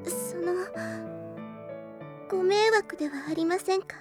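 Audio drama role: a devoted, demure young anime heroine, very high voice, hesitant and extremely polite. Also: female voice, a little slow, a little mellow, soft background music. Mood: earnest, shy. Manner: very polite, hesitant, apologetic speech.

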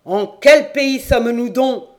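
A bold storybook sea captain with a thundering voice, as a reader puts him on. He speaks with loud, forceful confidence. The pace stays slow and deliberate. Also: expressive. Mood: outraged. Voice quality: booming, forceful.

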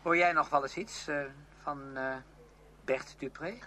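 An actor playing a headstrong, outspoken young music student in a Dutch radio play, speaking emphatically and with defiance. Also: male voice, fairly high pitch, steady tone, unhurried, sharp tone. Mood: brisk, bitter. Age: young adult. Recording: noisy old radio drama recording.

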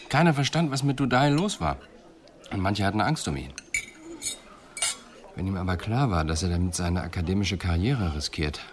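An actor playing a composed, insistent police detective in a German radio crime drama, asking measured questions. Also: male voice, low voice. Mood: musing, matter-of-fact.

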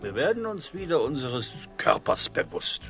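A man's voice: on the high side, sing-song, normal speed, fairly bright tone, faint music behind. A man playing an earnest, argumentative, hippie-minded young sailor in a satirical radio drama. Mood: earnest, bossy.

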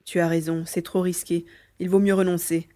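A woman playing an anxious, loyal partner who guides from afar in an audio drama, expressive and thinking aloud. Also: fairly low pitch, normal speed, slight background noise, natural voice. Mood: cautious. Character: anxious, loyal partner watching and guiding remotely. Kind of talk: French-language audio drama dialogue and monologue.

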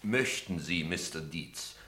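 An actor playing a solemn, lofty gentleman of an opera board, pompous and rhetorical, in a German radio play. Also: deep voice, lively intonation, slow pace, heavily acted. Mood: solemn, reproachful. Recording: roomy studio echo.

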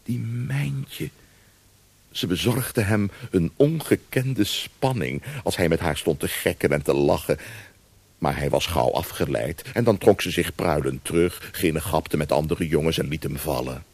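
Slow, gravelly, deep storyteller. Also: male voice, no room sound, full character voice. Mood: wry, savouring. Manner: expressive, savouring pauses and asides. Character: wry male storyteller of old Amsterdam. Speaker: narrator. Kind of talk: dramatised storytelling narration in radio play.

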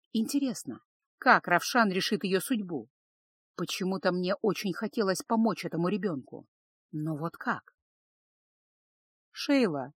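A woman's voice: mid-range voice, slightly rough, dry recording. Female audiobook narrator, dry close sound. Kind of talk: dramatic first-person scripted narration.

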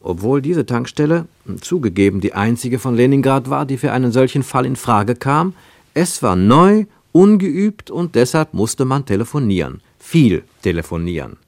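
A man reading scripted literary narration for a radio play in a measured, precise and expressive storytelling manner. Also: medium pitch, vocal fry, dry recording, clean studio sound.

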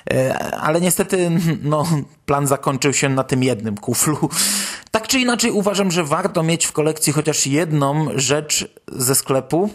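A man hosting a podcast, presenting news with informal personal commentary. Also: on the high side. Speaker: podcast host.

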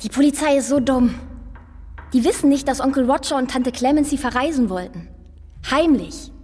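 A woman's voice: high-pitched, moderate pace, warm tone, husky, in a large hall. A female performer playing a precocious, nosy little girl detective in a German radio crime drama, speaking bluntly and without sentiment. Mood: blunt, secretive. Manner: blunt, matter-of-fact, unsentimental.

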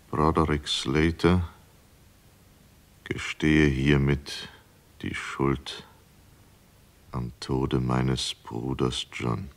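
An actor playing a grave, guilt-ridden, proud gentleman in a radio crime play, speaking formally and deliberately. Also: extremely low pitch, full character voice. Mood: heavy, confessing. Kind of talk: vintage German radio crime play acting.

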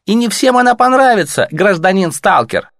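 A man's voice: high voice, expressive, normal speed, a bit bright, no echo, studio quality, voice pushed up. A man voicing a detached, formal officer who stays coldly courteous. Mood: cool formal. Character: cool, detached officer with a polite, bureaucratic tone.

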